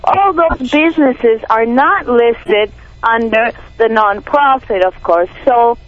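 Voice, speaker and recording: A woman's voice: on the high side, takes it slowly. Peruvian Spanish-accented woman, indignant. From Peru. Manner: earnest, indignant, frequent restarts. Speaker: animal rights activist guest. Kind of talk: radio interview. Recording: dull lo-fi radio broadcast.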